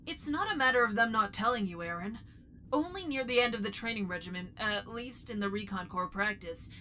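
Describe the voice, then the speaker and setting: A woman playing a helpful, matter-of-fact ship computer in a sci-fi fan parody, speaking in a precise, measured, articulate way. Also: fairly high pitch, bright, forceful tone, slight background noise. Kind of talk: fan sci-fi parody dub, informational recitation.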